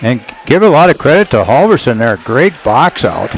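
A man adding color commentary to a radio basketball broadcast, his voice middle-pitched and relaxed as he chats with his partner between plays. Faint gym noise hums underneath. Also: moderate pace, some chatter behind. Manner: relaxed, chatty asides to the play-by-play man.

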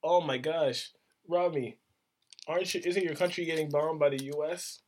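A man's voice: on the high side, steady tone, normal speed, darkish tone, slight background noise. A young man co-hosting a podcast in casual chat, laying out points and rambling through hypothetical examples. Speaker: podcast co-host.

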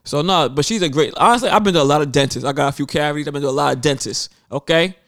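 A man's voice: a little high, racing, fairly bright tone, dry recording, studio quality. A man co-hosting a casual podcast, telling long rambling stories and putting on quoted voices. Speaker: podcast co-host.

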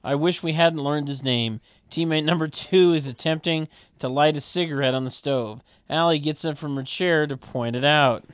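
Deadpan man reading stage directions, close dry sound. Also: on the high side, moderate pace, few pauses, smooth, no room sound. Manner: deadpan, mocking asides. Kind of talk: sardonic table read with mock commentary.

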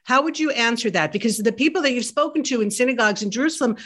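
A woman's voice: on the high side, expressive, racing, fairly bright tone. A woman hosting a webinar interview, asking long, carefully built questions. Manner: probing, builds long questions. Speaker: host.

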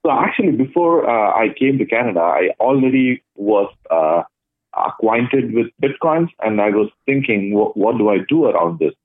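Guest from India, hesitant and thoughtful, thin phone-line sound, dry. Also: male voice, on the low side, sing-song, moderate pace, no echo. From Chennai, India. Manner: thoughtful, hesitant with frequent uhs. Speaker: guest, blockchain project lead. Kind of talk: interview answers.

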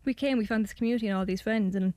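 A woman's voice: mid-range voice, rapid-fire, mellow, no room sound. A young Irish woman talking thoughtfully about her documentary and narrating it. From Ireland. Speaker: guest and documentary maker. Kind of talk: interview chat and scripted narration.

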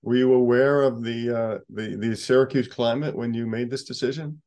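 Friendly male interviewer, halting delivery, video call. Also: medium pitch, steady tone, a little mellow, muffled recording. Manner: friendly, halting, many restarts and "uh"s. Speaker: interviewer, associate editor. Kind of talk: casual interview conversation.

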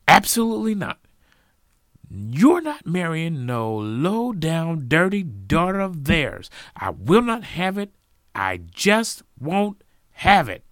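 A man voicing a furious, stubborn feuding parent who shouts scornful, emphatic insults and threats in a told story. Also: medium pitch, huge pitch swings, slow, no room sound, clean studio sound, voice pushed up. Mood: forbidding.

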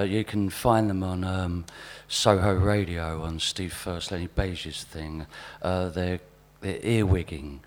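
A man reading his observational pieces to a live audience in a wry, deadpan way. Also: deep voice, a little hiss or hum. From South East London, England. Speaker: writer and performer reading own pieces. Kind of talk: spoken-word reading of overheard snippets to a live audience.

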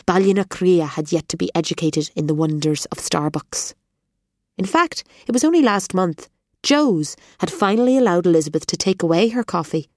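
A woman narrating an audiobook in a measured, expressive storytelling manner, recorded in a studio. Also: on the low side, no room sound.

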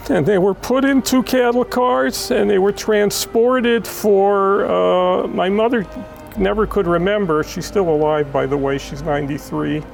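American woman, interview guest, tearful and halting personal testimony, gravelly edge, faint music behind. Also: medium pitch, a little mellow, low-quality recording. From born in Israel, raised in Los Angeles. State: tearful, emotional. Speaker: interview guest, child of Holocaust survivors.

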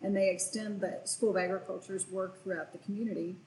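A woman's voice: medium pitch, steady tone, a little fast, a little mellow. Site manager lady explaining stuff plainly, roomy echo, bit of noise behind. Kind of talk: interview answers.

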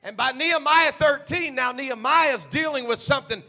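A male pastor preaching a sermon, swinging from hushed asides to shouted climaxes. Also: very high voice, normal speed.

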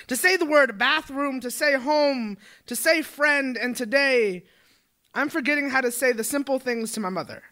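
A man's voice: very high-pitched, sharp tone. A young man performing a spoken word poem as an earnest, numbered recitation. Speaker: poet.

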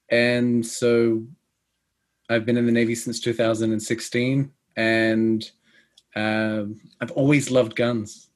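A man in his thirties from Australia, with an Australian accent that sounds close to British, speaking as a guest on an interview show, measured and pausing often. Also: lowish voice. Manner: measured, with many pauses and fillers. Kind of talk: podcast interview.

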